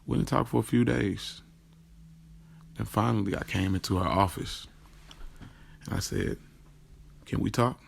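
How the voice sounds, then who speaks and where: A man's voice: fairly low pitch, a little slow, vocal fry, pauses often, a little hiss or hum. A man playing a streetwise young man who tells his love affair in candid, slangy first-person drama narration, with music behind him. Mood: confiding, uneasy. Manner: colloquial, slangy storytelling.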